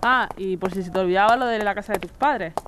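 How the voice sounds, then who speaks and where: A woman's voice: medium pitch, fast talker, a bit bright, a little hiss or hum, natural voice. A woman playing an exasperated, worried wife in a radio drama, insistent and reproachful. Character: exasperated, worried wife, wary of others' troubles.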